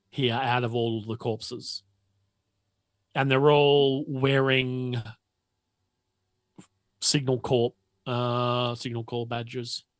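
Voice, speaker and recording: Crisp, bright-voiced man, unhurried. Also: mid-range voice, no echo. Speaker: game master. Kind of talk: narrating a tabletop roleplaying game.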